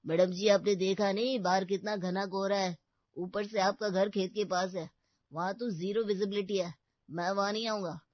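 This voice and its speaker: A woman's voice: mid-range voice, steady tone, moderate pace, dry recording, lightly acted. A woman doing a grumbling bus driver. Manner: blunt, grumbling. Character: gruff impatient male school bus driver. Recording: dull, muffled audio.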